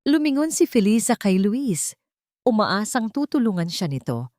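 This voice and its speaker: A woman's voice: medium pitch, big pitch swings, moderate pace, a little mellow, no echo, clean studio sound. A woman with a Filipino accent narrating a dramatic scripted story as an audiobook.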